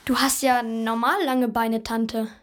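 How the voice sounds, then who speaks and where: A woman playing a wondering voice out of childhood memory in a literary radio play, measured in delivery. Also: fairly high pitch, flat delivery, faint music behind.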